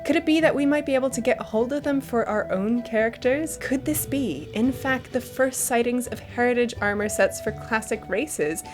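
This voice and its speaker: A woman's voice: fairly high pitch, normal speed, hardly any pauses, over music. A woman co-hosting a gaming news show, wry and playfully emphatic, moving between narration and casual banter. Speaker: show co-host.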